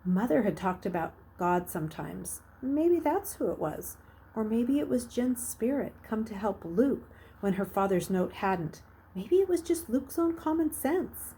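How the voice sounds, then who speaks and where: A woman reading a children's novel aloud as a storyteller, narrating expressively, with emphatic swells and hushed phrases. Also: mid-range voice, sing-song, a little slow, slight background noise, low-quality recording.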